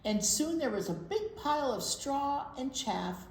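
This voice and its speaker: A woman's voice: sits high, lively intonation, unhurried. A woman reading a picture book aloud to young children, explaining simply and asking them questions. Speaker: children's library storytime reader. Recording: roomy echo with clear background noise.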